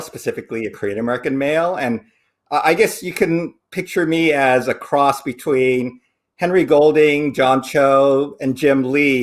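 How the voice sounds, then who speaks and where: Self-deprecating man, medium pitch, casual. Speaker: producer, panelist.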